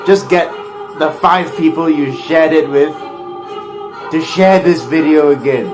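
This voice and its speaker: A man playing a mocking game master who gloats over losers in a loud, sing-song, drawn-out voice. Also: fairly high pitch, music in the background, lightly acted. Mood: scornful, goading.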